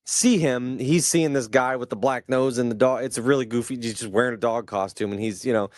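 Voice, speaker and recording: Casual low-voiced man, dry close sound. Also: brisk, dry recording. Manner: swears casually, conversational. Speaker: podcast co-host. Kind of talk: casual chat.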